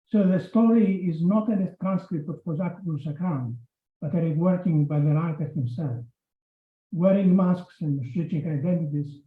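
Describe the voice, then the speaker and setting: A man delivering an academic talk in a fairly high voice, measured and stopping now and then to correct himself. The sound is thin and phone-like, with echo from a room. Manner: measured, with frequent hesitations and restarts. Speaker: academic panel speaker. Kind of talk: reading a prepared lecture. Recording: echoey room over a phone-like line.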